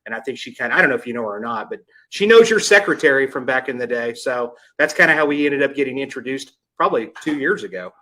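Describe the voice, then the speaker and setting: Male podcast host, bright tense voice, casual and stammering. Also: medium pitch, lively intonation, fast, few pauses, poor audio. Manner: stammering, repeats words.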